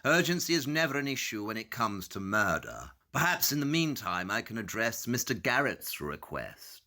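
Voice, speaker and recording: An actor playing a brilliant, impatient male detective with a British accent, speaking in a brisk, condescending way in an audio drama. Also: mid-range voice, very animated, sharp tone. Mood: cool.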